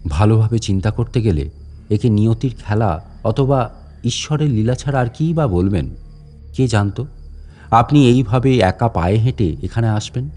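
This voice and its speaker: A man's voice: fairly low pitch, moderate pace, mellow, lightly acted. A man playing a menacing old caretaker in a horror audiobook, a grieving, vengeful father who speaks with polite deference and sly questions. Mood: quietly menacing. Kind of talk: dramatic audiobook horror monologue.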